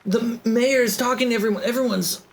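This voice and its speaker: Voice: mid-range voice, a little fast, a little hiss or hum, voice pushed up, natural voice, rough recording. A game master voicing Quint, a nervous young man who stumbles over his words. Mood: flustered. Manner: hesitant, stumbling restarts.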